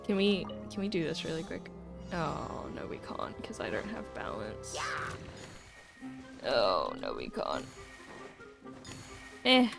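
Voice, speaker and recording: A young woman streams a video game, chatting casually with playful, mock-dramatic asides. The delivery is lively and varied in pitch yet unhurried, with game music underneath. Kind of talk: casual live gameplay commentary and chat. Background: faint to clear game music.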